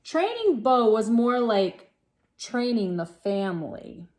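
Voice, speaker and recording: A woman hosts a read-aloud for children in a fairly high voice. She takes her time, reading at a very slow pace. Her delivery is expressive and full of exclamation, addressed straight to young listeners.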